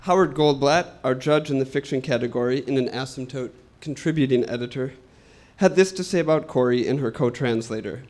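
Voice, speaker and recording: A man's voice: mid-range voice, a little mellow. Man formally introducing a literary event guest. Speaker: event host introducing a translator. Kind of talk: prepared introduction, reading aloud a judge's statement.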